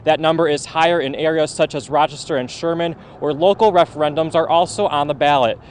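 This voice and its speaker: A man's voice: a little high, fairly even intonation, a little fast. A man with an American accent reporting live for TV news from outdoors. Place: outdoors outside county election office. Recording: outdoor street noise with traffic.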